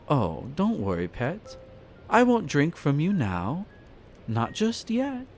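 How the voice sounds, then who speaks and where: A man playing a possessive, flirtatious vampire master in a roleplay audio drama, speaking formally and condescendingly with theatrical pauses. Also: fairly high pitch, exaggerated voice. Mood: hungry, teasing. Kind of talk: scripted roleplay audio drama, addressing the listener. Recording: music behind the voice. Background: background music.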